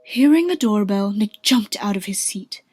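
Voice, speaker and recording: A woman's voice: medium pitch, expressive, moderate pace, darkish tone, slightly rough, no echo. Woman narrator, dry close sound. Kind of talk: scripted storytelling narration.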